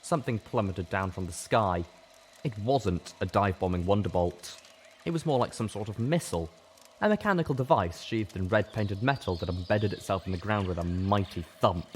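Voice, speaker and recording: A man narrating a scripted first-person story in a dramatic, deliberate storytelling manner. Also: fairly low pitch, lively intonation, a little hiss or hum. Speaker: audiobook narrator.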